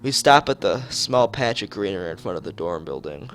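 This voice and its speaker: A man's voice: low-pitched, animated, fairly quick, soft background music. A man streaming a visual novel, reading the story aloud expressively with occasional asides.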